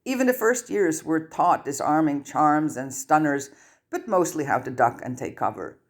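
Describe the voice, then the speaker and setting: Expressive audiobook reader with a mid-range voice. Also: mid-range voice, moderate pace. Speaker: fan fiction audiobook reader. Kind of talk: expressive scripted story narration.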